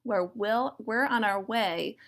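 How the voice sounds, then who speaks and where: A big, sleepy, grumpy storybook bear, played by a woman, growling and snarling with a gravelly edge. He takes his words slowly and heavily. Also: mid-range voice, fairly bright tone. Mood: determined. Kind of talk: children's storybook read-aloud.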